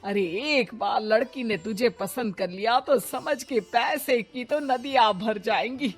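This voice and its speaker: A woman puts on a scheming middle-aged stepmother for a cartoon moral tale. She is loud, sarcastic and scornful, forever scolding. Music plays faintly behind. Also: on the high side, measured pace, slightly rough. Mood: scolding, greedy.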